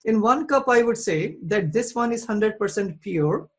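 A man hosting a conversation, speaking in a measured way and explaining ideas with simple examples. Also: high-pitched, animated, breathy edge. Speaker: host, Islamic outreach. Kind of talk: calm explanatory interview.

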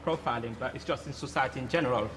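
A young man in a studio audience making an earnest, halting point. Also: mid-range voice, a bit bright, in a large hall, slight background noise. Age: young adult. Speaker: audience member.

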